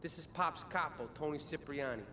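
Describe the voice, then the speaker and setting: Game role: male actor as young streetwise American gangster handing out jobs, fairly high voice, reverberant noisy recording. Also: normal speed, lightly acted. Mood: casual, confiding. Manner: streetwise, casual slangy talk. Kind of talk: video game cutscene acting. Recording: echoey reverb, background noise.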